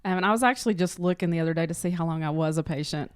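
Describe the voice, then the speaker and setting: A woman with a fairly low voice talks as a guest in a casual interview. She shares small anecdotes in a conversational way, and the sound is dry with no room on it. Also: racing, clear, resonant tone, no echo. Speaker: interview guest, clinic front office worker. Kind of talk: casual chat, telling anecdotes.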